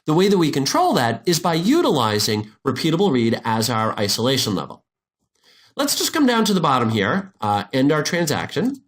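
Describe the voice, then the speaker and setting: Guy doing a software tutorial, pitch moves around a lot, informal explainer walking thru it step by step. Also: wildly swooping pitch, normal speed. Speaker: instructor.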